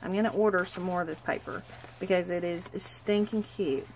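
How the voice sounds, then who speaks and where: A woman's voice: fairly low pitch, fairly even intonation, darkish tone, a little hiss or hum, low-quality recording. Stuffy, gushing woman crafter. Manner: gushing, chatty, hurried. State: stuffy from a cold. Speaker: crafter showing a handmade journal. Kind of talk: casual craft walkthrough.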